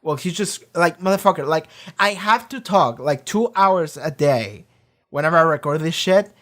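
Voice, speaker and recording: A reaction video host in a casual, rambling discussion, often talking loudly, swearing freely and filling pauses with lots of "like" and "um". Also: mid-range voice, animated. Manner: swears freely, many fillers and restarts; often talks loud.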